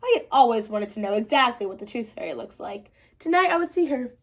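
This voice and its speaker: Female storybook narrator for kids: high voice, expressive, highly animated read-aloud. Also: fast talker. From United States. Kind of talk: expressive children's storybook reading.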